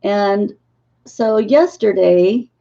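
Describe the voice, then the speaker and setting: A woman in her fifties, hoarse and losing her voice, talking haltingly about her dream visions as a psychic in a casual monologue to camera. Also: medium pitch, mellow, lo-fi sound. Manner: halting, with many filler pauses.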